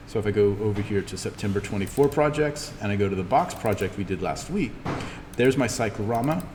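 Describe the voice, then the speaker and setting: Low-voiced man teaching in an echoey, noisy room. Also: darkish tone. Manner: halting, thinking aloud while demonstrating. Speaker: college instructor. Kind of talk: informal in-class software tutorial. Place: classroom. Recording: reverberant room, clearly noisy background.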